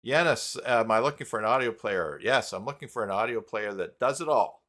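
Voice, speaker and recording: A man hosting a live stream tutorial in a casual, halting way, thinking aloud as he goes. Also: medium pitch, animated, a bit bright. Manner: halting, thinking aloud while clicking around. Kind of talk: casual live tutorial talk, reading chat.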